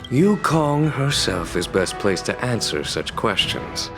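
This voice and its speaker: A wise, courteous game commander with a deep voice. He speaks formally and takes his time over each phrase, measured and deliberate. Music plays clearly behind him. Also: big pitch swings, exaggerated voice. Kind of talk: video game dialogue acting. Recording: background music under the voice.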